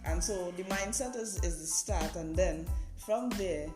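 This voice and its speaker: A woman's voice: lowish voice, unhurried. A Trinidadian woman hosting a podcast, explaining things in an informal, conversational way with frequent checking tags like "right" and "you know". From Trinidad and Tobago. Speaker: podcast host. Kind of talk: informal instructional podcast monologue. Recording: roomy echo, music behind the voice.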